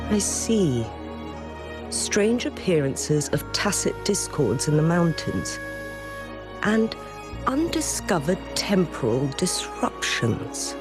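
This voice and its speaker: A dignified lady of authority in a video game. Her voice is deep and very expressive in pitch, formal and shrewdly inquisitive, with music clearly playing behind. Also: takes it slowly. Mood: curious, pondering.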